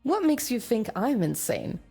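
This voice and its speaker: An actress playing a wary, earnest goddess of war in a visual novel, serious and formal. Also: female voice, a little high, very animated, unhurried, creaky, dark tone, breathy edge, full character voice. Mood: wary, incredulous. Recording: long reverb, music behind.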